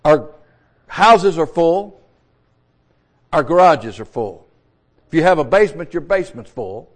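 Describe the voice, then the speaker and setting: A man in his sixties, a pastor, preaching a sermon in a folksy, repetitive way, restarting his words. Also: high-pitched. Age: 60s.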